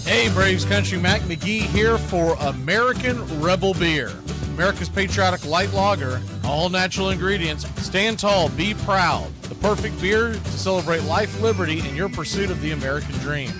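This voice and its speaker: A man's voice: sits high, normal speed. A man announcing sports and sponsor spots in a showy, folksy radio play-by-play style. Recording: music bed under the voice. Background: music.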